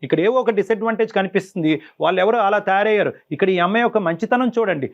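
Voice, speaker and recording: A man's voice: high-pitched, racing. A man giving emphatic advice as a counsellor on a talk show, repeating words for stress, in a dull, muffled recording. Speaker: family counsellor.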